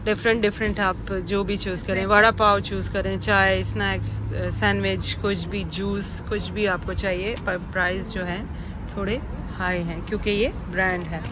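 A woman's voice: medium pitch, fairly even intonation, moderate pace, noisy background. A woman chatting and explaining things to viewers in a casual vlog, recorded on a phone outdoors. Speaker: vlogger, host. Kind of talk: casual vlog narration and chat. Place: outdoor cafe terrace and street at night. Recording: loud crowd and street chatter behind.

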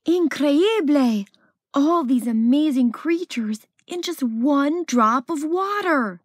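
Lady doing an eager little science kid in a storybook, full of wonder, voice goes up high. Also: takes it slowly, dry recording, clean studio sound. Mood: amazed. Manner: wondering, excitable. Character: eager, curious young boy scientist.

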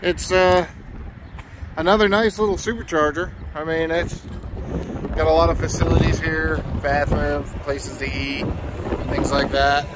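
Middle-aged male vlogger, slightly high voice, easygoing and rambling, phone-camera sound with faint background noise. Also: steady tone, measured pace. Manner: easygoing, rambling with fillers and restarts. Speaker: vlogger on a road trip. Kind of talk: casual vlog narration. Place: inside a car and outdoors at charging stations. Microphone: phone camera at arm's length.

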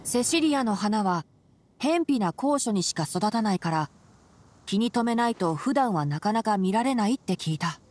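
A woman's voice: medium pitch, expressive, moderate pace, darkish tone, a little hiss or hum, lightly acted. Woman as composed, dry-witted young male botanist. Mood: composed, wry. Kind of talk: Japanese game voice acting. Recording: faint music behind the voice.

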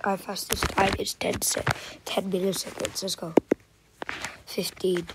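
A young woman vlogging with a stuffy cold, sniffling, talking in unhurried bursts that jump into excited gasps and squeals. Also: medium pitch, heavy background noise. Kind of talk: casual screen-recording vlog.

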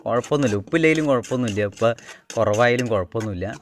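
A man from Kerala talks viewers through his home cooking in a casual, rambling way, circling back over his phrases. His voice sits at a middling pitch. Pans clatter and click noticeably behind him in a homemade recording. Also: lively intonation, racing, a little hiss or hum. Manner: rambling, repeats phrases as he works. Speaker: home cook and vlogger. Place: home cooking spot with wood fire.

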